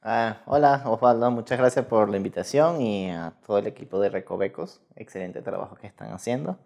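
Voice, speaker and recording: A man's voice: lowish voice, fairly quick, gravelly, a little mellow. A Venezuelan man in his thirties giving casual, rambling interview answers with frequent self-corrections. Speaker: guest researcher in mathematics.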